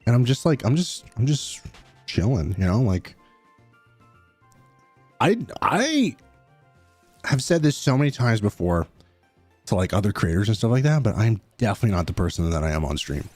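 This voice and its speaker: A young man with a low voice that still swings up and down a lot as he chats with his viewers. He talks in a mumbly, low-key way, dry and close with no room sound, and faint music plays behind him. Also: very animated, normal speed, pauses often, a little mellow, slightly rough, no room sound. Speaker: streamer. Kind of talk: casual live-stream chat.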